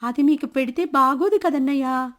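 Polite young housewife played by a female narrator, very high, hesitant and evasive, for a village story. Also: normal speed, fairly bright tone.

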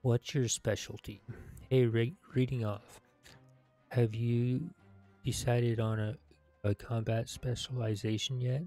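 A man in his thirties reading game quest text aloud in a deliberate, halting way. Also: mid-range voice, level tone, warm tone, faint music behind.

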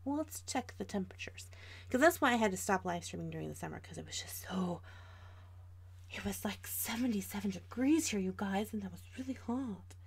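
Female livestream host, about 40, American. Casual, chatty delivery direct to viewers, noticeably creaky tone. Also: mid-range voice, animated, a little fast, a little hiss or hum. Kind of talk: casual livestream chat while drawing.

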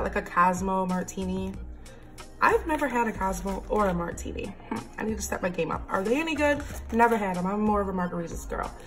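A woman in her thirties with a raspy, slightly deep morning voice, chatting enthusiastically while flipping through planners at home. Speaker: planner vlogger. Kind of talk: casual haul and flip-through.

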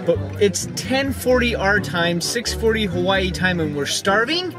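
A man's voice: sits high, big pitch swings, a little slow, music in the background. A man in his thirties, a family vlogger, joking and chatting to a handheld camera while traveling, tired from the trip. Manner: playful, joking, talks to camera at arm's length. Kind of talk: casual travel vlog. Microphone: camera at arm's length.

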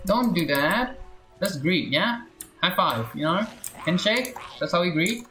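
A man's voice: high voice, animated, measured pace, sharp tone. A man streaming a video game with casual, playful commentary, muttering to himself and his chat in short exclamations. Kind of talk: casual live gameplay commentary. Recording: roomy echo with game music behind. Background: video game music.

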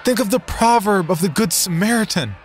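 A man's voice: high-pitched, animated, unhurried, faint music behind, some chatter behind, pitched above the performer's own voice. A man voicing a pious, half-blind settler who earnestly pleads for peace. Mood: pleading.

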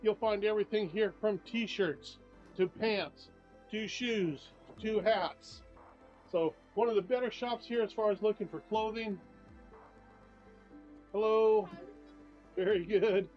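A man's voice: high-pitched, slow pace, pauses often. Chatty older man giving a walking tour. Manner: chatty, upbeat, repeats "very, very". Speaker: travel vlogger and tour guide. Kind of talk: casual walking tour narration. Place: shops and streets of a small town, indoors and out. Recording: slightly dull sound, faint music behind.

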